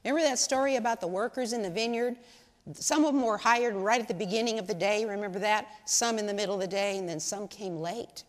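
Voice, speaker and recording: A woman's voice: mid-range voice, quick pace. Older woman, lay speaker, chatty and folksy, audience questions, lapel mic, echoing sanctuary. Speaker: lay speaker at women's service. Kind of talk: informal church talk to a congregation. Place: large church sanctuary. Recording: long hall reverb in sanctuary.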